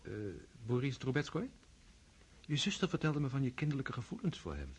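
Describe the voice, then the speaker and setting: A man's voice: mid-range voice, wildly swooping pitch, a little fast, slightly rough, full character voice. An actor playing a serious young nobleman who talks in a reserved, hesitant way and sometimes stammers.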